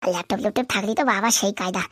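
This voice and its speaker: A woman's voice: mid-range voice, rapid-fire, a bit bright, breathy edge, dry recording, clean studio sound. A woman voicing a cocky, lazy young male thief in a comedy cartoon, brash and slangy, full of bragging. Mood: boastful.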